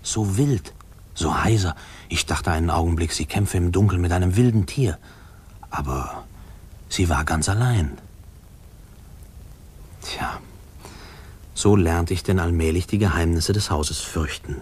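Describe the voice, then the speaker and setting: An actor playing a man who recalls his fearful boyhood, narrating a 1950s German radio play in a hushed, halting, husky voice. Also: low-pitched. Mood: reflective, uneasy.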